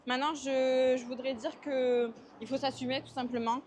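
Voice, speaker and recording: Young Marseille woman, interviewee, hesitant, fairly high voice, outdoors. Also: flat delivery, moderate pace, a little mellow, slight background noise. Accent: Marseille French. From France. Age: 20s. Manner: hesitant, many fillers and restarts. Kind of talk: casual interview while walking.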